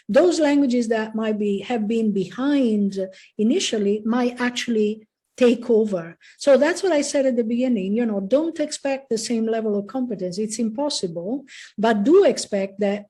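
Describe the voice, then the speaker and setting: Woman, older, academic speaker; mellow tone, reassuring and explanatory, laptop mic on a video call. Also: medium pitch. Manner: reassuring, explanatory, with many "you know" fillers. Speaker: linguistics professor, guest speaker. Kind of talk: answering audience questions in an online talk. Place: home office with bookshelves. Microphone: laptop webcam on a video call.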